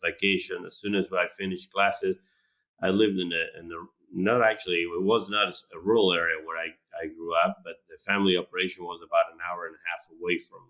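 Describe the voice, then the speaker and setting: Deep-voiced man from Argentina. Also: level tone, fairly quick, sharp tone, low-quality recording. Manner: hesitant, many fillers and restarts. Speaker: animal science professor, guest. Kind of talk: casual interview talk.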